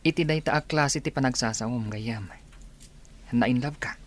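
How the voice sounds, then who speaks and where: A man's voice: medium pitch, normal speed, natural voice. A man playing a frank, cautioning village friend in radio drama, with an Ilocano accent. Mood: frank, advising.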